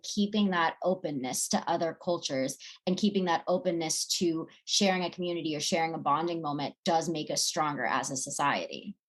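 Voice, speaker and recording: Low-voiced woman on a podcast. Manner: earnest, reflective, many fillers. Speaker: podcast co-host. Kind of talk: casual podcast chat.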